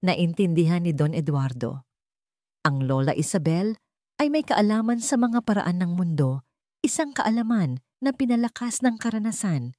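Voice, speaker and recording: A woman's voice: fairly low pitch, animated, normal speed, warm tone, no echo. A woman narrating a scripted dramatic audio story, recorded close and dry.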